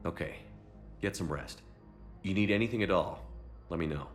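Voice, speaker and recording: A man playing a steady, supportive military commander in a video game, direct and clipped in his phrasing. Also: low-pitched, moderate pace, faint music behind, close to an everyday voice. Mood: firm, supportive.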